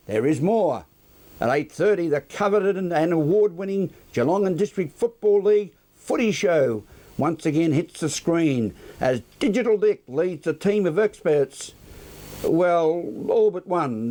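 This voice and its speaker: A man's voice: fairly high pitch, warm tone. An Australian man presenting local television news in a scripted sign-off style.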